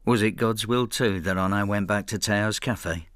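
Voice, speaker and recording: An actor playing a bitter, hard-drinking old husband in a radio drama, brooding and sardonic with a husky voice. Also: male voice, lowish voice, normal speed, fairly bright tone, no echo, close to an everyday voice. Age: older. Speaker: lead actor and narrator. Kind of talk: radio drama acting, confessional narration.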